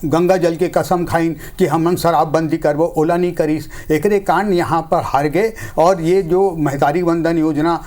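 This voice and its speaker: Male political spokesman, Chhattisgarhi accent, fairly high voice, argumentative panel-debate delivery. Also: steady tone, a little slow, hardly any pauses, a little mellow.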